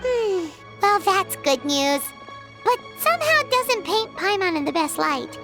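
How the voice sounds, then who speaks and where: A woman voicing a tiny, perky, childlike fairy companion in a video game, squeaky and easily offended. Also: very animated, measured pace, loud music behind the voice, exaggerated voice. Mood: mildly miffed. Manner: perky, exclamatory, speaks of self in third person.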